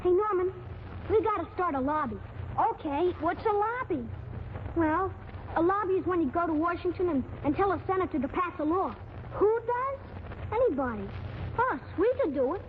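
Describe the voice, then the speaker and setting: A woman playing an excitable, eager little boy in a 1940s radio comedy. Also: extremely high pitch, normal speed. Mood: excited, scheming. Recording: tinny noisy 1940s radio recording.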